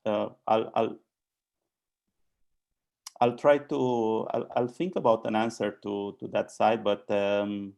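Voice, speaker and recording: A man speaks slowly and unhurriedly, his low voice crackling with gravel. He stays in a flat, narrow tone, pausing often and restarting his sentences. It comes through a video call. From Romania. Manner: halting, many fillers and restarts. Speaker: architect and author. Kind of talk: hesitant conversational answers.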